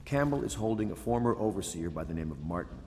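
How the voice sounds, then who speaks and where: A man's voice: lowish voice, expressive, fast, background noise. A man playing a resolute admiral in a video game, giving a grave, commanding briefing in a reverberant room. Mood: businesslike. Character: resolute male admiral leading a loyalist conspiracy.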